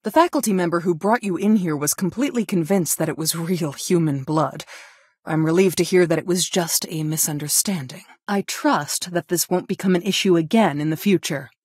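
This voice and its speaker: A woman voicing a strict school guidance counselor in a video game, with a formal, measured, reproachful way of talking. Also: lowish voice, a little mellow, slightly rough, no room sound, clean studio sound. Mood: relieved, cautious. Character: stern female school guidance counselor, adult, strict and disappointed. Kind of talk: video game voice acting, scolding lines.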